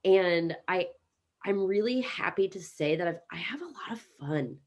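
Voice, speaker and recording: An American woman in her thirties, chatting as a guest on an interview over a video call, upbeat and talkative with quick restarts. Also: on the low side, animated. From Kansas City, United States. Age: 30s. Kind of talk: casual interview chat.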